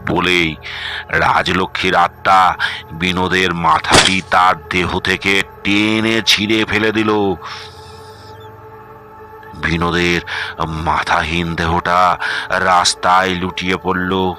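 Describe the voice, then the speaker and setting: A man narrating a scripted horror story dramatically, measured and suspenseful, with pauses between phrases. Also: sits low, flat delivery, music in the background.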